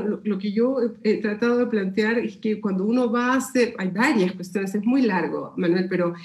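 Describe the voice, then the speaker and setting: A woman's voice: mid-range voice, roomy sound. A Peruvian woman speaking Spanish with a Peruvian accent, giving a thoughtful academic seminar answer with many fillers and restarts. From Peru. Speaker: sociology lecturer answering questions.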